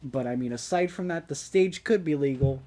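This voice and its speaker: Man, stuffy nose, casual tournament commentator, rambling, dark mellow tone. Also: on the high side, normal speed. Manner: rambling, full of ums and restarts. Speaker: streamer commentating a game tournament.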